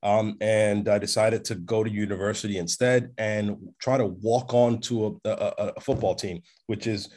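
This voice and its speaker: A man, a podcast guest telling long stories in a relaxed interview. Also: fairly low pitch, moderate pace, few pauses, a little hiss or hum. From North America. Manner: expansive storyteller, checks in with "right?". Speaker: podcast guest, sports agent. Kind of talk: casual interview chat.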